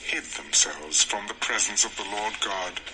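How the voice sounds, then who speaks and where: A man narrating scripture from a script in a measured storytelling manner. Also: lowish voice, background noise.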